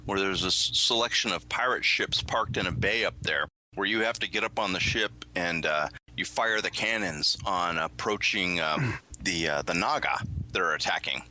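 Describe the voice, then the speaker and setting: A deep-voiced man explains things in casual panel chat. His tone is very bright and forceful, and he pauses as he goes. A faint noise sits behind the voice. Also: poor audio. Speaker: podcast panelist.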